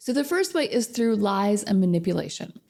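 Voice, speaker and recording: Slightly hoarse woman coach with a gravelly voice. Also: mid-range voice, big pitch swings, brisk, a little mellow. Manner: chatty, addresses viewers directly with questions. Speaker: narcissistic abuse recovery coach. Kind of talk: casual direct-to-camera advice talk.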